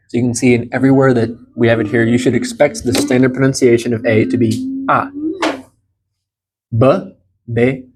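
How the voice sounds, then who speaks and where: A man's voice: mid-range voice, a little mellow. A man in his thirties with an American accent, explaining things in an informal, encouraging way as a language teacher in a small room. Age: 30s. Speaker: Spanish teacher. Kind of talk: informal group language lesson. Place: small indoor room beside a large monitor.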